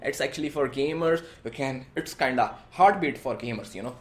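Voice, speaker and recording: A man's voice: mid-range voice, fairly quick. A man in his twenties with an Indian accent, talking casually to camera as a gaming vlog host in a room at home. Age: 20s. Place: small room at home, indoors.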